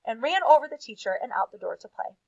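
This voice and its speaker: A woman reading aloud to children as a teacher, with expressive emphasis and casual asides. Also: a little high, fast talker, gravelly, dry recording, highs cut off.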